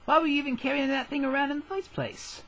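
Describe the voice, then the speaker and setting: A man's voice: extremely high pitch, steady tone, fast, creaky, bright, forceful tone, full character voice. A man voicing a brash, slangy teenage schoolboy with a Brooklyn accent in a parody anime dub.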